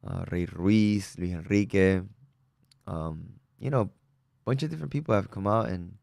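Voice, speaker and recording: A man's voice: low-pitched, moderate pace, creaky, no room sound, clean studio sound. A young man from Peru, a musician guest, chatting casually and upbeat with plenty of fillers. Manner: relaxed, upbeat, lots of fillers. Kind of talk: casual interview chat.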